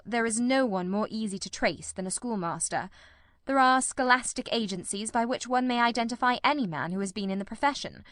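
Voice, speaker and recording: Casting: woman reading as a calm, incisive gentleman detective for an audiobook drama; measured, explanatory delivery, very dry sound. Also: fairly high pitch, dry recording.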